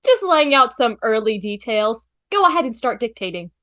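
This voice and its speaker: A woman's voice: on the high side, big pitch swings, normal speed, a bit bright. A woman in an amateur table read over a video call, playing a cheerful, helpful female assistant.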